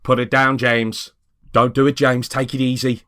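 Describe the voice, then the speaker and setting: A man's voice: mid-range voice, little pitch movement, moderate pace, pushed and bright, no echo, clean studio sound, pitched above the performer's own voice, lightly acted. A man voicing a suspicious, bossy neighbourhood watchman who challenges and questions people in an audio story. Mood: urgent warning.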